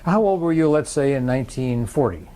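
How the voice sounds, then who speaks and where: Man, interviewer, mid-pitched voice, gentle, prompting questions. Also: animated, brisk. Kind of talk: oral history interview.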